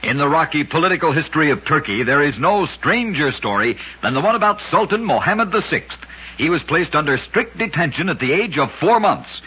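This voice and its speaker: A man narrates strange true tales for an old-time radio program, his voice husky and sharply bright. He rides the sentences up and down with lively emphasis and clips each story off with a punchy tag. The old broadcast recording sounds dull and lo-fi. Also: fairly high pitch. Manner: brisk, emphatic storytelling with punchy catchphrase endings.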